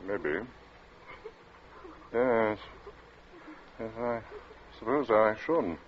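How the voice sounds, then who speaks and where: An actor playing a timid, flustered gentleman in an old radio thriller, talking in hesitant, mumbled fragments as though cornered. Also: lowish voice, expressive. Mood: uneasy, subdued. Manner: halting, mumbling, stammering hesitancy. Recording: muffled, noisy vintage radio recording.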